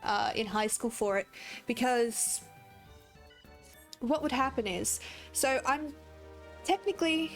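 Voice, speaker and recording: A woman's voice: fairly high pitch, expressive, slow pace, pauses often, soft background music. Chatty young woman, Australian-American accent. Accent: Australian with American drift. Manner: chatty, rambling, laughs often. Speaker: gaming streamer. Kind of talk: casual livestream chat while playing.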